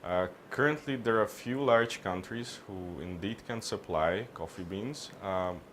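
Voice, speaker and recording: A man from Hungary, answering business questions in a measured, halting way, often restarting his phrases. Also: on the low side, fairly bright tone, a little hiss or hum. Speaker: interviewee, business consultant. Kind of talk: on-camera business interview.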